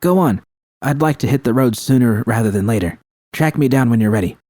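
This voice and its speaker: A man's voice: mid-range voice, lively intonation, a little fast, mellow, dry recording, studio quality, natural voice. A man voicing a brash, impatient, loyal and foul-mouthed nomad woman in a story, blunt and urgent. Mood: brisk.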